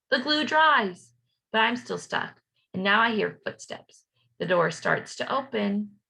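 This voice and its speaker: A woman reading a children's storybook aloud as a playful young schoolboy narrator, expressive and rhythmic. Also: a little high, creaky, slightly rough, full character voice, poor audio. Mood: dramatic.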